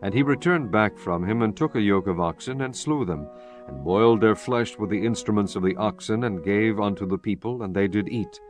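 A man narrating a dramatized Bible from a script, solemn and measured in his storytelling. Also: on the low side, lively intonation. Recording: music bed under the voice. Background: background music.

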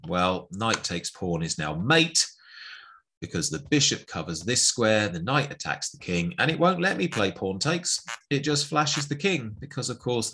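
Deep, husky chess teacher. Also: male voice, pushed and bright. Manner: chatty and playful, calls out moves one by one. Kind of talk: chess lesson narration over an on-screen board.

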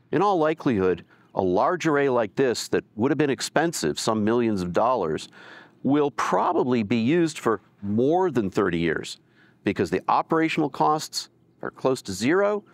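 A man's voice: mid-range voice, no echo. An older man presenting outdoors in a plain, conversational way, gesturing as he explains. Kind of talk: explainer talk to camera. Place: outdoors by solar panels and at a winery.

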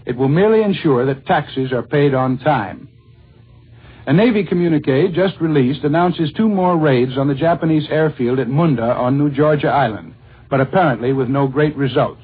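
An American male radio correspondent delivers a 1940s news report. His voice sits a little high and is very rough and husky. It comes through a thin, scratchy vintage broadcast. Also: normal speed. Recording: scratchy narrow-band 1940s radio recording.

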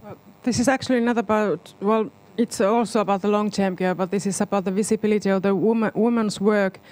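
Smooth-voiced woman panelist, halting English. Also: mid-range voice, fairly even intonation, a little mellow. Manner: halting non-native English. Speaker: expert panelist. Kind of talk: spontaneous panel discussion remarks. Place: seminar auditorium on stage. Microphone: handheld microphone.

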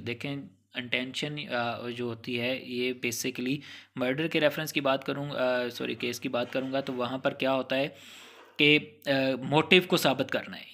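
Male lecturer, Pakistani accent, bright and crisp-edged tone, medium pitch, instructive delivery peppered with English terms. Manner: didactic, explains by examples and restatement. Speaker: law lecturer. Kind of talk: explanatory exam lecture, mixing in English legal terms.

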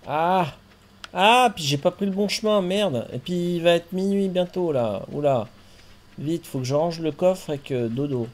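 A man's voice: fairly high pitch, a little slow. A man from France chatting casually with a friend while playing a farming game, chatty and jokey with playful swearing. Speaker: streamer and host.

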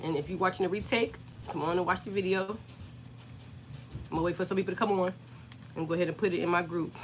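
A woman in her forties, hoarse and scratchy from being sick, chatting on a livestream from her home craft room and greeting viewers by name. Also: lowish voice, fairly even intonation, fast, faint music behind. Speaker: craft channel host.

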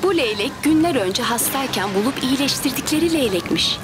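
A woman voicing a gentle teacher in a cartoon, telling a children's story with expressive, clear phrasing. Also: sits high, fairly quick, music in the background. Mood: gentle, dramatic.

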